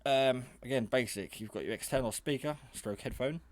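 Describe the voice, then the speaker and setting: A man's voice: medium pitch, moderate pace. A man giving a casual, hands-on product demonstration in a hesitant, rambling, matter-of-fact way. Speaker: hobbyist radio reviewer. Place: garage.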